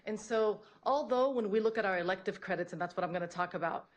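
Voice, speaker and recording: A woman's voice: medium pitch, fast, dull audio. A woman giving a prepared public presentation as a school principal, earnest and repeating phrases for emphasis. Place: public board meeting room, at a podium.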